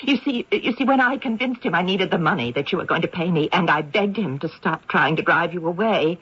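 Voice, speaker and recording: A woman's voice: fairly low pitch, expressive, a little fast, a bit bright, husky. An actress playing a meek, apologetic lady of a haunted house in a radio drama, genteel and hesitant. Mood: earnest. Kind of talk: old-time radio drama acting. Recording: dull vintage radio recording.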